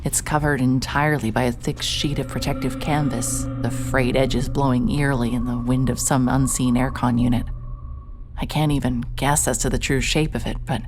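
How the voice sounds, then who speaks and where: A low-pitched female private investigator, in a noir-style horror audio drama. She is dogged yet a little awkward, brooding when she narrates and halting when she speaks to people. Music runs underneath her voice. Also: steady tone. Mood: hushed, eerie. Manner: hesitant, stammering in dialogue, brooding in narration.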